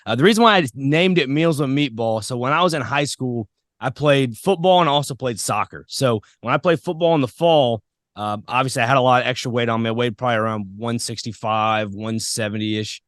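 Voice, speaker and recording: A man's voice: mid-range voice, a little fast, a bit bright, dry recording, clean studio sound. A man hosting a podcast in a casual, informal, anecdotal chat style. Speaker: podcast host.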